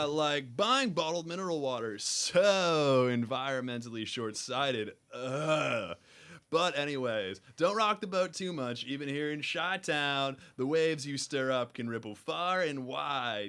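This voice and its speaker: A man's voice: mid-range voice, lively intonation, unhurried, sharp tone. A man playing a laid-back, snooty West Coast dude henchman in a comedy radio serial, drawling and saying "like" constantly. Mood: casual, snide.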